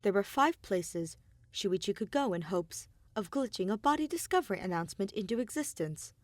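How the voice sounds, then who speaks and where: A woman narrates a story in an audiobook style. Her voice sits at a medium pitch, expressive and deliberate, in a very dry recording with little room sound. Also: dry recording. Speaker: podfic narrator. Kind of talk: scripted fiction narration, audiobook reading.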